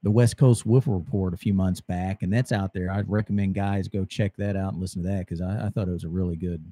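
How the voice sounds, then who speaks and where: A man hosting a radio interview, asking easygoing questions, his voice getting hoarse. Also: low-pitched, steady tone, quick pace, warm tone. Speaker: radio show host. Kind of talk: casual interview chat.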